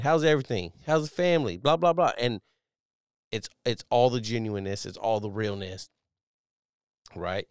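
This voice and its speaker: A man in his thirties hosting a podcast, talking casually as a Navy chief, loud and profane with a strong voice. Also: sits high, dry recording, studio quality. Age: millennial, 30s. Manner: animated, profane, loud and emphatic. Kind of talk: casual solo podcast commentary.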